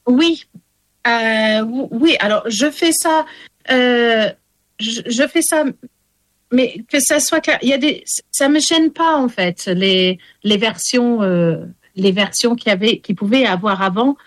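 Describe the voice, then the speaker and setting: A Welsh-raised woman whose first language is English, a storyteller answering interview questions in French with many pauses and fillers. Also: a little high, dry recording. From Wales. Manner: hesitant, many fillers and restarts. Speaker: storyteller guest. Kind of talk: radio interview.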